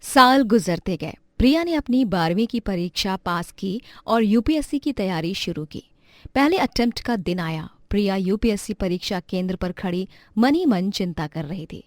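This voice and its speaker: Woman narrator for a story video, measured delivery, dry close sound. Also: mid-range voice, animated, a little mellow, no echo.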